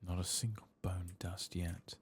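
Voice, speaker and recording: A soft-spoken, hushed man giving unhurried, understated ASMR commentary over a video game. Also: low-pitched, flat delivery. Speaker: host of a gaming playthrough channel. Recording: faint background noise.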